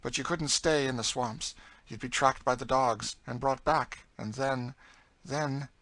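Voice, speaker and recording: A man's voice: mid-range voice, a little slow, pushed and bright, dry recording, pitched above the performer's own voice. A man voicing a bitter, despairing, world-weary enslaved woman in an audiobook, with a dry, emphatic delivery. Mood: dry, grim. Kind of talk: dramatised audiobook reading of a classic novel.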